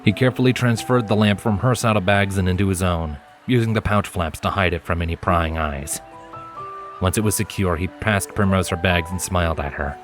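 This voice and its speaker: A man with a low-pitched voice narrates a story in audiobook style. Soft music plays behind his scripted reading. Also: a little fast. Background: background music.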